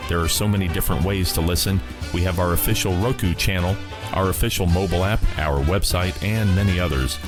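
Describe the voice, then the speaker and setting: A man hosting a radio program, reading scripted announcements in a plain, informational way. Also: sits low. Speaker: host of an old-time radio program.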